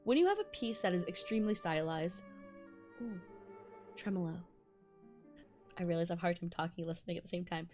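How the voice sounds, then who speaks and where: A young adult woman whose first languages are Malayalam and Tamil, a violinist giving a bubbly, excitable casual breakdown of music and laughing often. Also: lowish voice, fairly quick, pauses often. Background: faint music playing.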